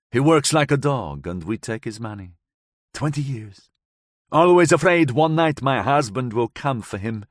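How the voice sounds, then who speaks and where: A man reading a storybook plays a hardened woman who speaks slightly broken English and relives old crimes with bitter intensity. Her voice sits higher than the narrator's and swings widely in pitch. It is recorded close and dry. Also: dry recording. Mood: weary. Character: hardened vengeful woman recounting her past.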